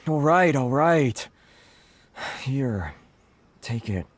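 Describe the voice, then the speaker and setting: A man's voice: medium pitch, lively intonation, very slow. An actor playing a meek, earnest townsman in a video game, polite in his delivery. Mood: pleading, resigned. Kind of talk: animated video game voice acting.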